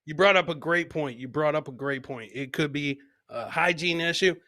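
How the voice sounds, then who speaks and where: A man with a slightly high-pitched voice hosts a comedy clip show. He comments casually, as if chatting live, and likes to repeat a phrase for comic emphasis. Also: moderate pace, crowd noise.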